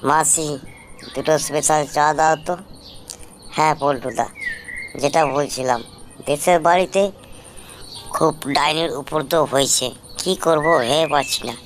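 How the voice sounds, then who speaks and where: A man's voice: fairly high pitch, steady tone, measured pace, pauses often, a bit bright. Man playing anxious young villager over noisy birdsong. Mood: worried, pleading. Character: Ramesh, worried younger village man pleading for help. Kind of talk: cartoon ghost story dialogue. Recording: clear background noise with chirping birds.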